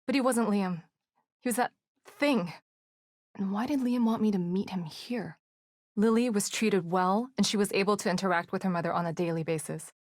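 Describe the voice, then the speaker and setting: A woman's voice: medium pitch, moderate pace, no echo, clean studio sound, natural voice. An actress playing an earnest female team psychologist in a video game, speaking in an articulate, analytical way. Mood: composed, serious.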